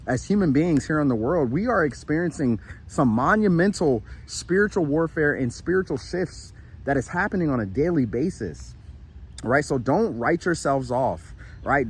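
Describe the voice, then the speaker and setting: A man's voice: medium pitch, slight background noise. A man giving an earnest spiritual pep talk while he walks outdoors in the shade, tired and sweating, often repeating himself and asking "right?". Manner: earnest, repetitive, with frequent "right?" and restarts; walking while he talks. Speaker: spiritual motivational vlogger. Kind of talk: casual encouraging monologue.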